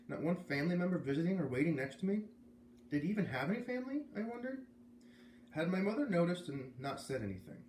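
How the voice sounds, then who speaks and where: A man's voice: on the high side, a little mellow. A man with an American accent, hosting a read-aloud of a children's novel as a storyteller. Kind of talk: reading a children's novel aloud, with casual asides. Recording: roomy echo, faint background noise.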